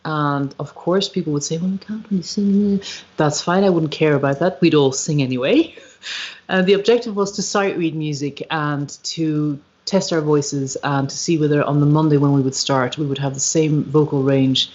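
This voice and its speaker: A woman with an Irish accent, a musician being interviewed on a podcast, speaking reflectively and searching for her words with frequent pauses and ums. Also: low-pitched, a little mellow. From Ireland. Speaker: guest, musician and retreat founder. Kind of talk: podcast interview, thoughtful conversation. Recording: slightly dull, lo-fi livestream audio.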